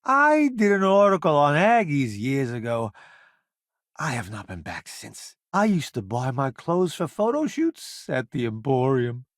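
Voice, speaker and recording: An actor playing a vain, chatty celebrity food columnist turned space crew sidekick in a video game, with a theatrical, smug, wisecracking delivery. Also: male voice, medium pitch, sing-song, a little slow, no echo, clean studio sound. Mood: wry, showy. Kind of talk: video game companion voice lines.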